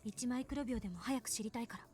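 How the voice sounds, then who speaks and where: A woman playing a sharp-minded, sceptical young woman in a visual novel, questioning and curt. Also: a little high, rapid-fire, natural voice. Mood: insistent. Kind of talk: Japanese visual novel voice acting. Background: game music.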